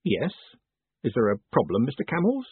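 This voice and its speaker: Through a narrow phone-like band comes a brash, bossy postmaster in a storybook, acted by a male reader. He is smooth-tongued and curt. The voice is very husky and lively in pitch. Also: moderate pace, no echo, heavily acted. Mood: breezy, relaxed. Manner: curt orders in clipped bursts. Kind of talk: audiobook character dialogue. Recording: muffled, thin phone-like sound.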